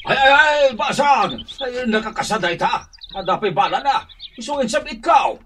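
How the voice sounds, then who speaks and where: High, fretful older villager. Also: male voice, animated, moderate pace, pushed and bright, a little hiss or hum, full character voice. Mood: flustered. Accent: Filipino, Ilocano. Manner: exclaiming, fussing, swooping delivery. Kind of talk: Ilocano comedy radio drama acting.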